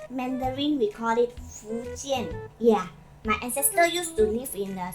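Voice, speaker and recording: Young woman, Malaysian English accent, fairly high voice, playful casual vlog, music underneath. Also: poor audio. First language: Chinese. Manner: animated and playful, with sudden loud jumps. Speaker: vlogger. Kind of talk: casual chat to camera.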